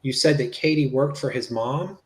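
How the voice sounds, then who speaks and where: A man's voice: medium pitch, moderate pace, close to an everyday voice. A man reading the part of a police interviewer in a dramatic transcript reading, asking conversational, matter-of-fact questions. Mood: probing, matter-of-fact.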